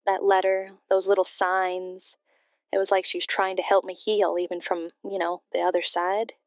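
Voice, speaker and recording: A woman acts a bereaved daughter phoning a late-night radio drama. Her delivery is reflective and halting, with a narrow, level pitch and a clean, smooth tone. The sound is close and dry. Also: flat delivery, dry recording, lightly acted. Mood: wistful, wondering. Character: bereaved daughter, wistful and sincere, confiding a ghostly story. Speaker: caller to a radio show. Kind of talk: scripted audio drama, emotional personal storytelling.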